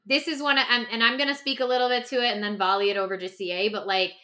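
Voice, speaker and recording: A woman co-hosting a podcast in a casual, reflective conversation, talking in an animated, informal way with lots of "like". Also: fairly high pitch, fast talker. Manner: animated, informal, peppers talk with "like". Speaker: podcast co-host.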